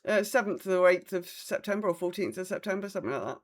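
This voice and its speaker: A woman's voice: on the low side, lively intonation, quick pace. A woman with a British accent talking casually as co-host of a gaming podcast.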